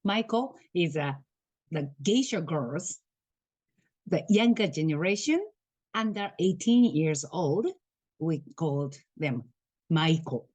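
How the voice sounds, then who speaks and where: A Japanese woman in her thirties with a Japanese accent, speaking halting English with soft laughs as a guest on a video call. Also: low voice, expressive, dry recording. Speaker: guest, tour guide. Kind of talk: casual interview over video call.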